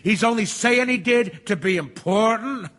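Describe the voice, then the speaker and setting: An actor playing an impatient, wisecracking juror in a stage radio drama, brash and mockingly sarcastic. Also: male voice, high-pitched, normal speed. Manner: sarcastic, loudmouthed.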